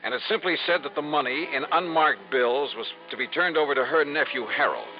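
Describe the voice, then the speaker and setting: An actor playing a blunt, skeptical insurance investigator in a 1950s radio drama. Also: male voice, fairly high pitch, fairly quick, soft background music, lightly acted. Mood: matter-of-fact narrating. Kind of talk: 1950s radio drama acting, dialogue and first-person narration. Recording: dull, noisy vintage radio recording.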